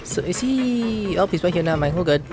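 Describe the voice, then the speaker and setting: A woman's voice: lowish voice, expressive, moderate pace, music in the background. A woman giving casual gameplay commentary, thinking aloud in a playful, exclamatory way. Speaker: gaming video host.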